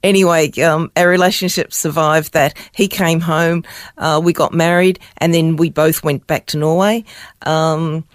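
A woman's voice: low-pitched, steady tone, unhurried, dry recording, clean studio sound. An older woman with an Australian accent, a guest recounting her life story hesitantly, with frequent ums and uhs. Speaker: interview guest. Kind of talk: personal testimony in conversation.

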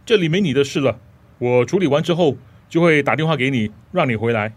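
A man's voice: medium pitch, expressive, fast, lightly acted. A man playing an imperious, grumpy older rich master in a radio drama. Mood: imperious, irritated.

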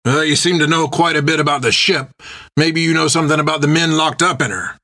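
A man voicing a gruff, foul-mouthed pirate captain who barks orders bluntly in an audiobook adventure. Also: a little high, steady tone, fairly quick, bright, forceful tone, pitched above the performer's own voice. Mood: wary.

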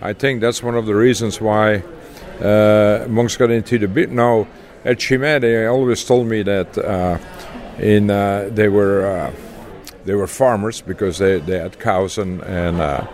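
An older man from Belgium with a Belgian accent, speaking haltingly with many fillers as an interview guest. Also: fairly low pitch, steady tone, a little mellow. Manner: halting, many uhs, chuckles. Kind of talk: casual interview chat.

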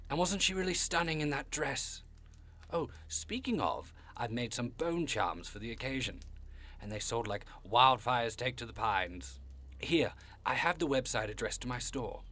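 A man playing a genteel, hospitable and macabre devil-like gentleman in an audio drama, effusive and quaintly polite in a theatrical way. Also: mid-range voice, close to an everyday voice.

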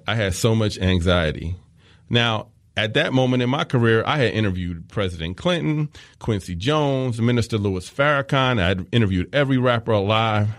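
Low-voiced man hosting a podcast. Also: no echo, clean studio sound. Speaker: podcast host. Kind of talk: scripted podcast narration.

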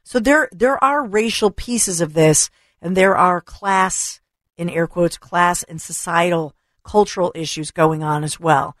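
A talk radio host, a woman, delivering an opinionated rant full of rhetorical questions and repetition, her voice going hoarse. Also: on the low side, measured pace, dry recording, studio quality. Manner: emphatic, rhetorical questions, repeats for stress. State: losing her voice. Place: radio studio. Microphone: studio microphone.

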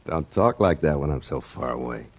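A man's voice: rumbling low, expressive, moderate pace, breathy edge, exaggerated voice. An actor playing a cocky, scheming waterfront crook with slangy tough-guy talk in 1940s radio style. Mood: smooth, scheming. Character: scheming, cocky waterfront crook sweet-talking his moll. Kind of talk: 1940s radio crime acting. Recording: muffled 1940s radio recording.

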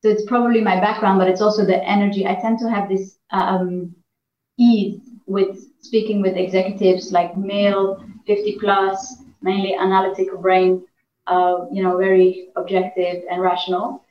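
A woman's voice: mid-range voice, flat delivery, normal speed, slight background noise. A woman from Iran, a negotiation trainer and coach, giving earnest interview answers with frequent fillers and restarts. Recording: echoey, dull lo-fi room sound.

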